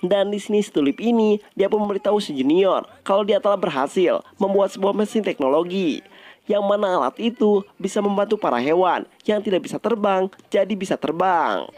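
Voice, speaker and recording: A man's voice: high voice, lively intonation, brisk, darkish tone. A man narrates a film recap in a casual, scripted style, addressing viewers directly in colloquial speech. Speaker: movie recap host.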